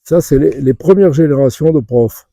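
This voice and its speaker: An older man from the Vendée in western France reminisces in an informal interview. His voice is deeply gravelled with fry and very soft-edged, captured dry and close. He talks in a chatty, digressive, good-humoured way, wandering from one anecdote to the next. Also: moderate pace, no echo. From Vendée region, western France. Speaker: interviewee, retired dental professor.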